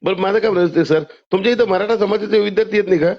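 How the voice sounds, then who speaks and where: A man's voice: sits high, rapid-fire. A man with a Maharashtrian accent on a phone call, arguing insistently and cutting in. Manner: insistent, interrupting. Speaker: caller. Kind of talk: argumentative phone conversation. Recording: muffled lo-fi phone call recording.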